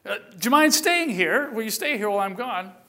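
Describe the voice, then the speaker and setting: A man's voice: high voice, expressive, fairly quick, pushed and bright, voice pushed up, full character voice. A man voicing an anxious, doubting young man who protests and stammers his questions. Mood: hesitant.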